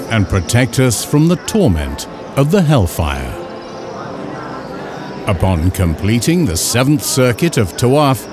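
A man reading scripted instructional narration in a measured, formal, carefully enunciated way. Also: medium pitch. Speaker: narrator of an educational film.

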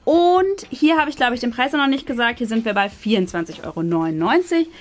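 A woman's voice: fairly high pitch, expressive, brisk. A woman, hosting a casual unboxing and chattily presenting items to camera. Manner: chatty, presenting items while handling them. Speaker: host of a craft and unboxing channel. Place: small decorated room indoors. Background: background music.

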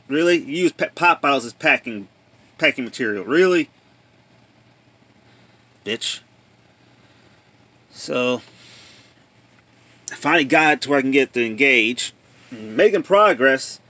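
A man's voice: mid-range voice, bright, forceful tone. Plainspoken man thinking aloud. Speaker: hobbyist showing a tape machine repair. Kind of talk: casual handheld video commentary. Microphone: handheld phone or camera.

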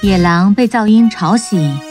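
A woman's voice: mid-range voice, measured pace. A woman storyteller reading a scripted children's tale as narrator. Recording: music bed under narration. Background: music.

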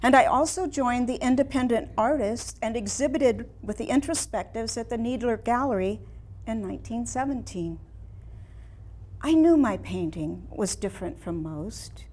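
A woman in her sixties playing a proud, wistful woman painter in a theatrical, deliberate staged reading. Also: on the high side, a little mellow, close to an everyday voice. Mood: wistful, reflective. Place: small room in a house before a seated audience.

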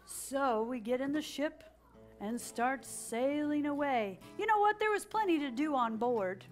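High-pitched woman telling a children's story. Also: expressive, measured pace, soft background music. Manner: dramatic, prompts children to repeat lines. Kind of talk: animated storytelling read-aloud to young children. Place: children's library story room with an audience, indoors.

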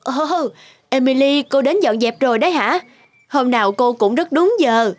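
A woman's voice: high voice, normal speed, lightly acted. A woman playing a self-absorbed, persuasive actress wife in a cartoon, breezy and insistent. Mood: breezy, businesslike. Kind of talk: Vietnamese cartoon voice acting. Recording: music behind the voice.

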